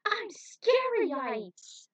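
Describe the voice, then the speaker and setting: A woman voicing a bold, defiant alien mutant in a story, with loud exclamations. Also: very high voice, sing-song, extremely slow, pitched above the performer's own voice, heavily acted. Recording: dull, distant microphone.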